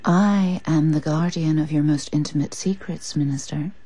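A woman's voice: deep voice, steady tone, normal speed, dark tone, slight background noise, close to an everyday voice. A woman with an English accent playing a scheming, seductive mistress in a radio drama, intimate, teasing and persuasive. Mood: intimate, intrigued.

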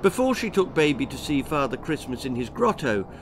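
A man in his sixties reading a children's story aloud in a theatrical, deliberate way. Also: mid-range voice, big pitch swings, a little hiss or hum. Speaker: author reading his own stories. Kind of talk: dramatic children's story narration. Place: small book-lined room indoors.

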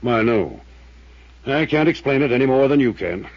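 A tired small-town mayor, speaking in a husky, earnest, measured way. His voice comes through dry and muffled on an old radio recording. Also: medium pitch, expressive, no room sound. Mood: weary. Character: weary, careful small-town male mayor. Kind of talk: 1950s radio drama acting.

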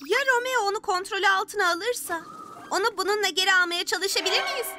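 A sensible, spirited little girl superhero from a children's cartoon. Her childlike voice is extremely high and forthright, with loud music behind it. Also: a little fast. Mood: concerned. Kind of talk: dubbed children's cartoon acting.

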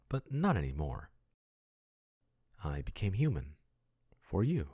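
A man playing a devoted, obsessive ex-chatbot lover in an ASMR roleplay. His voice sits very low and husky, swinging widely in pitch. It is dry and close, with a soft, doting yet possessive delivery. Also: no echo, full character voice. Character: obsessive male chatbot-turned-human yandere lover.

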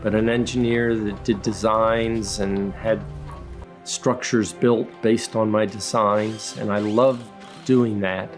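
An older man speaks at an unhurried, halting pace, circling back over his words with frequent fillers. He sounds like a retired engineer recalling his craft for a documentary. Faint music plays beneath his voice. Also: fairly low pitch, darkish tone. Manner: slow, reflective, many fillers and restarts. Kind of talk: documentary interview. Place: woodworking shop in a farm building.

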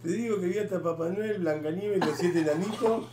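A man's voice: sits high, a little fast, background noise. A man with an Argentine Rioplatense accent telling rowdy, swearing stories among friends. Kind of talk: casual storytelling. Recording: echoey room with music.

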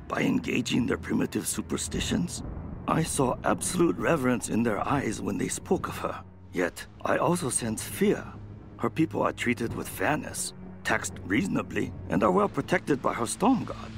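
An actor playing a grave, wary ambassador and spy in a video game, reading his journal in measured, formal, dramatic phrases. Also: mid-range voice, sing-song, creaky. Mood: curious, sceptical. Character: grave, wary male ambassador spying at a foreign court. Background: music.

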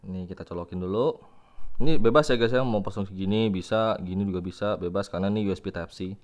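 A man's voice: sits low, quick pace, darkish tone. A young man. He talks informally, like a vlogger showing a product to friends. His delivery is chatty and casual, in a home-recorded review style. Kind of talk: casual unboxing and product demo. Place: room at home with tiled floor.

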